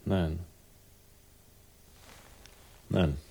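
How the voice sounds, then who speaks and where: An actor playing a brooding, sardonic detective who narrates and speaks in a 1960s German radio crime drama. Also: male voice, low-pitched, fairly even intonation, takes it slowly, gravelly, mellow, slightly rough, exaggerated voice. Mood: subdued, guarded. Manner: laconic, sardonic. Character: dry, brooding hard-boiled male detective, defensive about a lost woman. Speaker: narrator and lead character.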